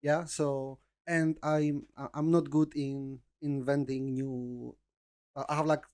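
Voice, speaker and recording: A man in his thirties from Greece with a Greek accent, a baker chatting in an interview in his bakery, halting and repeating words. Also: medium pitch, level tone, darkish tone. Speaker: baker, guest. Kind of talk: casual interview chat.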